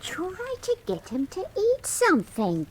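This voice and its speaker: A woman's voice: very high voice, huge pitch swings, measured pace, very noisy, heavily acted. A woman playing a motherly, fretful Victorian housekeeper, fussing and deferential in a radio drama. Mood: worried, fussing.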